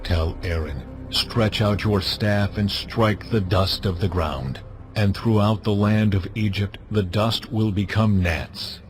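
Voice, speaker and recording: An actor playing a commanding, all-powerful God in a dramatized audio Bible, speaking in slow, solemn, forceful pronouncements. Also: male voice, on the low side, faint music behind.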